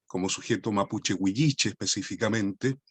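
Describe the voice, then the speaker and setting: A man with a Chilean accent, a poet opening his lecture slowly and thoughtfully, with many hesitations over a video call. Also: lowish voice, fairly bright tone, breathy edge, no echo. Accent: Chilean Spanish. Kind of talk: formal lecture introduction.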